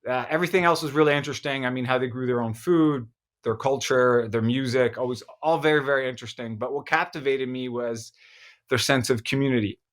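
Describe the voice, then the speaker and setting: An Egyptian-born man talking as a filmmaker guest in a casual interview, thoughtful, with frequent pauses and restarts. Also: medium pitch, fairly bright tone. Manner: thoughtful, many fillers and restarts.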